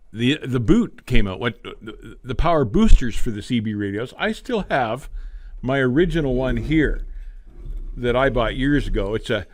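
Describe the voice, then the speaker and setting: An older man talking casually on a live stream like a veteran trucker reminiscing, in a folksy, unhurried storytelling way. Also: mid-range voice. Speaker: livestream host, veteran truck driver.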